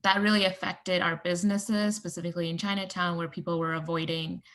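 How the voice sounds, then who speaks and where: A woman speaking as a panel guest, explaining in a measured way with some fillers. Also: on the low side, little pitch movement, a bit bright. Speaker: panel guest, communications director. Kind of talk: casual panel talk.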